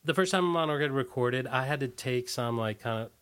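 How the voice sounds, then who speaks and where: Middle aged american guy getting interviewed, loose halting chat, says like a lot. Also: medium pitch, fairly bright tone, clear, resonant tone. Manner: halting and informal, peppered with "like". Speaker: interview guest, musician.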